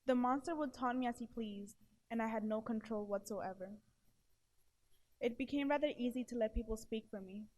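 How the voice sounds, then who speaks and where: A woman's voice: a little high, normal speed, a little mellow, poor audio. A woman in her early twenties with a stutter, earnestly delivering a prepared speech to an audience. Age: early 20s. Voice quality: stutter with blocks and repeated syllables. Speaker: award presenter giving a testimonial.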